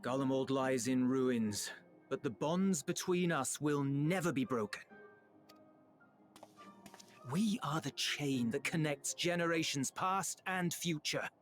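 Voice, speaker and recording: A man playing an earnest younger officer of a ruined empire in a video game, speaking in a solemn, measured and impassioned way. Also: fairly high pitch, faint music behind, close to an everyday voice. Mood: pleading, earnest. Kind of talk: dramatic video game voice acting.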